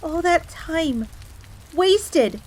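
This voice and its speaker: A high-voiced fox maid in an audio roleplay. She is a proud, jealous tsundere servant, quick to get indignant and flustered, yet lowly in her own eyes. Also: expressive, darkish tone, heavily acted. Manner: indignant, stammering when flustered, refers to herself in third person.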